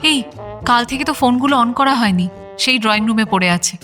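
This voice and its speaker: Woman playing a bright, teasing young girlfriend. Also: on the high side, fairly quick, faint music behind, lightly acted. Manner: playful, expressive. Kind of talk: radio drama acting.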